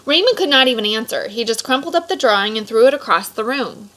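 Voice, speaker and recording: A woman reading a children's picture book aloud expressively, pausing for casual explanatory asides to young listeners. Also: on the high side, lively intonation, a bit bright. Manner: explanatory, stops to clarify words for children. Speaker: read-aloud storyteller.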